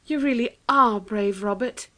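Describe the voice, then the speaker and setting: Storybook elder sister, young girl, raised pitch, cheerful and persuasive, read by a woman. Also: measured pace, pushed and bright. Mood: coaxing. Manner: coaxing, cheerful. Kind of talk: children's storybook reading.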